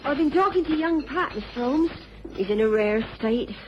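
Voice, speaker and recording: A woman's voice: high voice, animated, normal speed, slight background noise. A woman playing an outspoken, protective village inn woman in a radio drama. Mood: concerned. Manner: forthright, protective. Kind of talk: BBC radio drama acting. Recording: muffled old radio recording.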